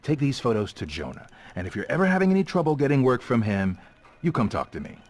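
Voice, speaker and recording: A man's voice: medium pitch, big pitch swings, fast. A man voicing a kindly, supportive newspaper editor in a video game, with an easygoing, avuncular manner. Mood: kindly, encouraging.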